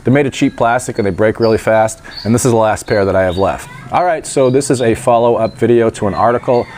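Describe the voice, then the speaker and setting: A man's voice: mid-range voice, fast talker, darkish tone. A man talks straight to the camera outdoors, his voice a little nasal and stuffed up. He rambles in a chatty way, dropping wry asides, with a faint hiss of noise behind him. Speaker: vlogger. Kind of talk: casual vlog talk to camera. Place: outdoors in sunshine by a gate.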